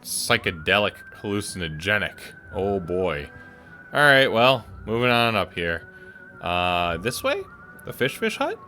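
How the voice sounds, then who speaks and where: A man's voice: lowish voice, huge pitch swings, unhurried, sharp tone, soft background music. A man playing a game on camera, thinking aloud and making wry jokes as he goes. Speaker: gaming YouTuber. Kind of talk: let's play commentary.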